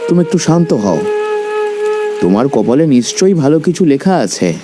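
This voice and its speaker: A man voices a kindly cartoon tea-stall keeper with a fatherly, soothing manner. His mid-pitched voice swings expressively with concern. Loud music plays underneath. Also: sing-song, moderate pace. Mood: dismayed. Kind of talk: cartoon story dialogue.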